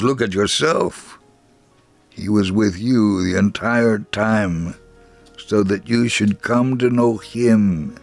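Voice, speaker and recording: A man reading a scripted first-person testimony as an elderly, gentle, devout Holocaust survivor, in a measured, earnest and deliberate manner. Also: lowish voice, exaggerated voice.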